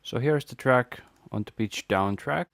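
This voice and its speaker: A man presenting an audio tutorial, casually explaining a software setup step by step into a close microphone. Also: medium pitch, a little slow, vocal fry, no echo.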